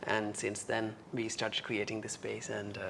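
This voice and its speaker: A man from Kerala speaking English with an Indian accent, Malayalam his first language, answering earnestly with many pauses and "uh"s. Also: lowish voice, completely flat delivery, gravelly, a bit bright, a little hiss or hum. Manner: earnest, halting with many "uh"s, sometimes rushing. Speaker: retreat owner. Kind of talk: interview answers.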